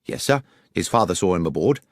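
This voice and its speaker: A man's voice: low voice, expressive, a little fast, no echo, studio quality. A man voicing an orderly, deferential country station master in an audiobook, plain-spoken and sure of himself. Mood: matter-of-fact. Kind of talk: audiobook character voice.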